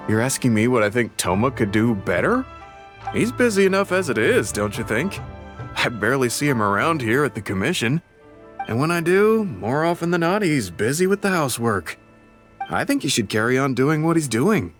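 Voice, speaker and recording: Lively, fair-minded male staffer. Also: mid-range voice, normal speed, soft background music. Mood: reasonable. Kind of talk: video game voice acting.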